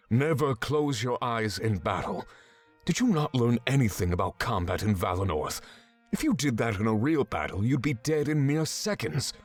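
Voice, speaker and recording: An actor playing a stern, exacting swordsman mentor in a fantasy visual novel game, giving measured, commanding instruction. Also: mid-range voice, natural voice. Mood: stern, impatient. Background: background music.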